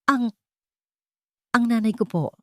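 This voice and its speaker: A woman's voice: on the high side, animated, takes it slowly, a bit bright, dry recording, clean studio sound, voice pushed up. A woman voicing a humble, hurting young housemaid in an audio love story, hesitant and deferential. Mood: hesitant, sad.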